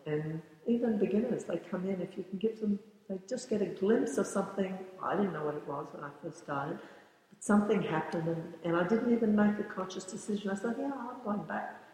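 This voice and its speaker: A woman's voice: medium pitch, brisk, darkish tone. Reflective woman in her sixties, echoey room. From born in the United States, raised in the Bahamas. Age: 60s. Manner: thoughtful, searching for words, frequent restarts. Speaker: yoga teacher being interviewed. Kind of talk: reflective interview answers. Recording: very echoey room with long reverb.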